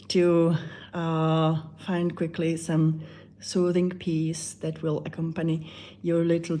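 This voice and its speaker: A woman with a Czech accent, a meditation channel creator chatting softly and hesitantly on a live stream, with many fillers. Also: on the low side. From Czech Republic.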